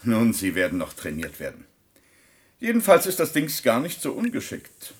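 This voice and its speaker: An actor playing a callous, impatient scientist doctor in a science-fiction radio play, clinical and brusque in manner. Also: male voice, on the low side, wildly swooping pitch, moderate pace, slightly rough, full character voice. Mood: cool, dismissive. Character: callous, impatient male scientist doctor, coolly self-justifying. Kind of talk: German science-fiction radio drama acting.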